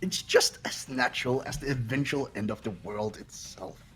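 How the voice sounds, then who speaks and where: A performer voicing a sadistic, gleeful robot bear headmaster in a game, with a raspy cartoonish voice and a theatrical, taunting manner. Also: medium pitch, normal speed, fairly bright tone, soft background music, close to an everyday voice, poor audio. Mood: callous taunting. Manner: theatrical, mock-innocent, swooping, taunting. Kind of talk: fan voiced visual novel playthrough.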